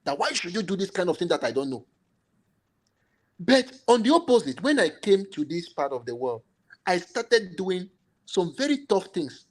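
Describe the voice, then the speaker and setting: Emphatic higher-pitched Nigerian man. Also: very animated, normal speed. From Cameroon, Nigerian parents. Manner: emphatic, repeats phrases. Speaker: computational biochemist, panel guest. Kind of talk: animated panel discussion.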